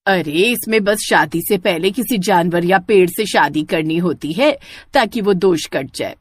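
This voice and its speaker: A woman's voice: fairly low pitch, a little slow, slightly rough, voice pushed down. A woman voicing a kindly, firm middle-aged mother in a cartoon story, reassuring and matter-of-fact.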